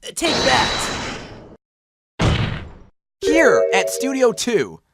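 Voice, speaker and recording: A man's voice: extremely high pitch, huge pitch swings, very slow, gravelly, very noisy, exaggerated voice. A man playing an earnest, determined young defense attorney, arguing dramatically in court in an amateur game fandub. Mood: triumphant. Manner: declamatory courtroom argument.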